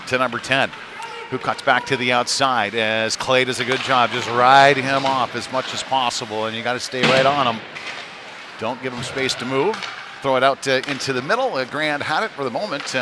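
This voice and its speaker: A man giving live play-by-play commentary on youth ice hockey, conversational and halting, with frequent filled pauses. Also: medium pitch. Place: chilly indoor ice rink. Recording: noisy rink ambience, clattering background.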